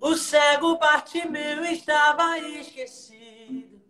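A man's voice: very high-pitched, steady tone, slow, bright, forceful tone, echoey room, faint music behind. A young man preaching fervently on a live stream from a small room, slipping into song, filmed on a phone at arm's length. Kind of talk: preaching and singing on a live video call. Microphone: phone camera at arm's length.

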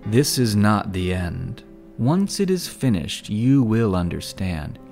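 A man voicing a calm, patient grown man, speaking softly and in a measured way in an audiobook. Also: on the low side, creaky, soft background music, voice pushed down, exaggerated voice. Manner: soft, measured, slow.

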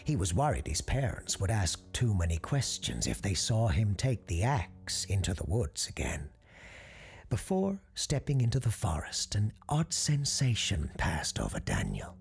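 A woman narrating a ghost story in a hushed, often whispered delivery. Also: extremely low pitch, wildly swooping pitch, unhurried, gravelly.

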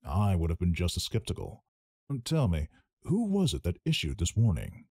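A man voicing a grave, stately ruler in a video game, formal and measured. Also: deep voice, wildly swooping pitch, warm tone, breathy edge, no echo, clean studio sound, heavily acted.

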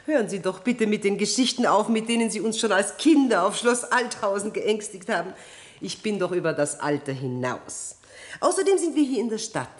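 A woman's voice: medium pitch, lively intonation, lightly acted. A woman playing an amused, no-nonsense countess in a 1960s radio play, giving polite, teasing orders. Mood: mocking, amused. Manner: brisk, teasing, polite commands. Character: amused, no-nonsense countess, adult city-dwelling aristocrat.

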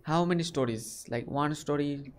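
A man's voice: on the high side, moderate pace. A young man from India with an Indian English accent, chatting casually with strangers on a webcam and asking many short questions. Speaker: video chat host. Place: small bedroom.

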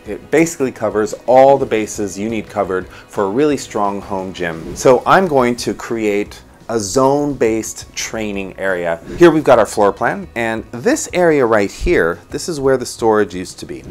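A man in his thirties hosting a design show, walking through a floor plan in an animated way from his home office on a lapel mic. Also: lowish voice. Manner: animated, gestures while explaining. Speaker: interior designer host.

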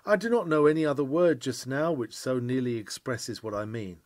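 A man's voice: mid-range voice, moderate pace, darkish tone, no echo. Earnest man reading a sermon, dry recording. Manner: earnest, emphatic preaching delivery with old-fashioned phrasing. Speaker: reader of a historical sermon.

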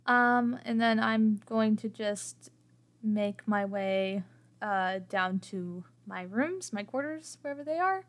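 A woman playing a tabletop game, improvising her character's actions as she thinks aloud in a halting way. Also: medium pitch.

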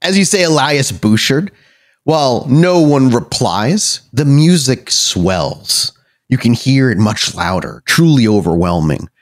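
A man's voice: mid-range voice, very animated, unhurried. A man narrating a tabletop game as game master, descriptive and conversational, improvising as he goes.

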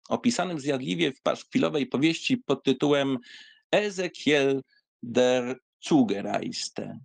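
A man giving an expressive, theatrical reading of a literary essay, with wry asides and sighs. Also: medium pitch, creaky, no room sound. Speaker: audiobook reader.

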